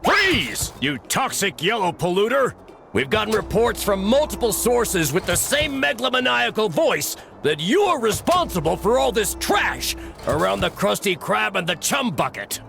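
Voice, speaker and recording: A zealous male cartoon cop for a video game, blustering and officious. He barks in a high, gravelly voice that swings widely in pitch. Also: sing-song, moderate pace, fairly bright tone, soft background music, exaggerated voice. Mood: stern, accusing. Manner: barking, officious. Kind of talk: animated video game acting.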